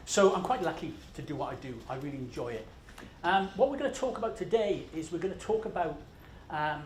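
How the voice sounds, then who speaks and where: Scottish man presenting in an echoey room. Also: mid-range voice. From Scotland. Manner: conversational, self-deprecating, many fillers. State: jet-lagged. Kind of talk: conference presentation. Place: large conference room. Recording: echoey conference room with faint noise.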